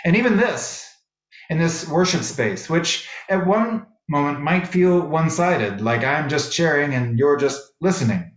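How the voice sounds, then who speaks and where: A male minister with a slightly high voice delivers a sermon in deliberate, measured phrases, pausing between thoughts. The sound is clearly roomy and echoing, as in a worship space, and a little dull on the top end. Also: expressive. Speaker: minister preaching to a congregation. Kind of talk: sermon with poetry readings.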